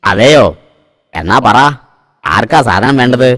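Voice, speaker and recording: A man's voice: on the low side, animated, a little slow, fairly bright tone. A man voicing a sly, grumbling shop owner in a cartoon, with long echo on the recording. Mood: evasive, sly. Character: grumbling, sly adult male shop owner, evasive boss. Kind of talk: cartoon voice acting.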